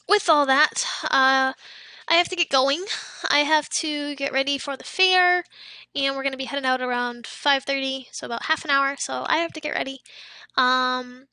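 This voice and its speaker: A young woman streamer chatting casually with her viewers and reading out chat, her voice a little worn from losing it, often dropping into soft whispery asides. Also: high voice, dry recording. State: losing her voice, throat a bit off.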